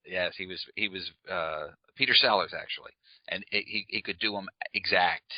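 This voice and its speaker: A man with a very low voice hosts a casual interview, drifting into rambling stories and tripping over a word now and then. The sound is dry and a little dull. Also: normal speed, dry recording. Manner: relaxed, rambling asides, some slips. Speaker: interview host.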